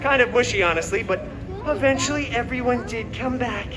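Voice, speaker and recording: Guy doing a super high cocky wisecracking antihero telling a fairy tale, sarcastic, live stage show with crowd noise outside. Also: brisk. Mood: boastful, sarcastic. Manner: sarcastic, self-mocking asides. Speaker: costumed character performer hosting live story show. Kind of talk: theatrical comedic storytelling to a live audience. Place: outdoor theme park show, filmed from audience. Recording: noisy outdoor crowd recording of live show.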